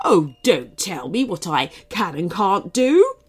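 Lady doing a bossy grumpy little cupcake guy from a kids story, groans and demands, pitch all over. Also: big pitch swings, takes it slowly, husky, full character voice. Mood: defiant. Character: rude, grumpy, defiant male cupcake come to life.

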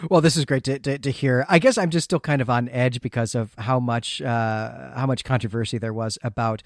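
A man's voice: mid-range voice, fairly quick, no echo, clean studio sound. A man hosting a podcast, talking through a literary recap in a careful, explanatory and emphatic conversational way. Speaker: podcast host.

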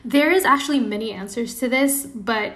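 A woman's voice: on the high side, normal speed, a bit bright. A woman from Indonesia speaking as a podcast guest, explaining things conversationally and often adding "you know". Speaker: podcast guest, product designer. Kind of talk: casual interview chat.

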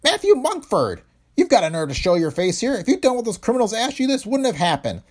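A wiry older factory owner rants at everyone in a shrill, piercing voice. His words jump high and stay loud as he scolds. It has the feel of a pulp storybook reading. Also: sing-song, normal speed, heavily acted. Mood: ranting. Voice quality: shrill, ranting.

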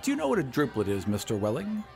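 A man's voice: medium pitch, wildly swooping pitch, quick pace, gravelly, over loud music. A man voicing a genial, pompous, very old doctor who lectures emphatically in an audiobook story. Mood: severe, lecturing. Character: genial, pompous, very old male doctor championing population control.

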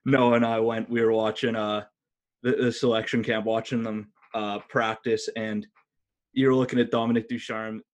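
A man with a slightly low voice hosts a sports podcast. He speaks in a casual, conversational way, as in an easy chat with a co-host. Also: level tone, normal speed, muffled recording.

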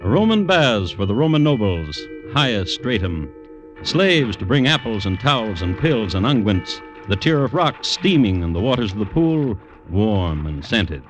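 A man playing the wry narrator of a classic radio drama, telling the story in unhurried, literary phrases. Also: fairly low pitch, big pitch swings, faint music behind, low-quality recording. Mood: wry scene-setting.

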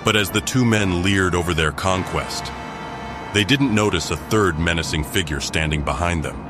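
A man's voice: low voice, normal speed, natural voice. A man narrating a scripted crime mystery audio drama as a grave storyteller. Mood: grave, suspenseful. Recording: background music under the voice.

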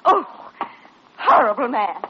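An actress with an American accent playing a flustered, indignant, sassy nurse, huffy and scolding, in a 1940s radio drama. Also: female voice, fairly high pitch, sing-song, slow, slight background noise. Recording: dull old radio recording.

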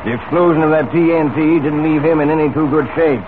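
A man's voice: a little high, fairly even intonation, quick pace, natural voice. Actor as a plain-spoken foreman, crackly radio. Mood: matter-of-fact. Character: plain-spoken, businesslike male ranch foreman. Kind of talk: 1940s radio acting. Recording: noisy, tinny old radio recording.

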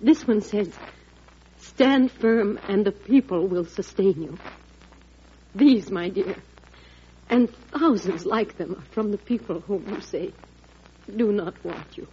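An actress playing a devoted, steadfast, encouraging wife of a president in an old-time radio drama, with a husky voice. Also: female voice, medium pitch, slow, pauses often, warm tone, a little hiss or hum, close to an everyday voice. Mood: rousing. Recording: thin, dull vintage radio recording.

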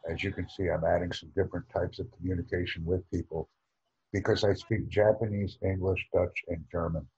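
A deep, husky American man with a mellow, dark tone, speaking slowly and formally. He lays out each phrase with careful, over-enunciated precision, as if addressing a class. The sound is that of a computer mic on a video call. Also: flat delivery, highs cut off. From United States. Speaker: YouTube channel host.

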